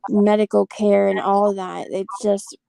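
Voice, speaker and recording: A woman's voice: mid-range voice, little pitch movement, measured pace, vocal fry, dark tone, dry recording. A woman with a light Southern twang, hosting a live stream in a chatty, rambling way and laughing easily. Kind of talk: casual chat.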